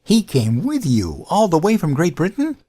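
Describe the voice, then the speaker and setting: Male actor as earnest, bumbling American president for audio comedy; very lively pitch swings, hesitant stammer, childlike sincerity. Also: moderate pace. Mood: awkward, sincere. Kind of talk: comedic audio drama acting.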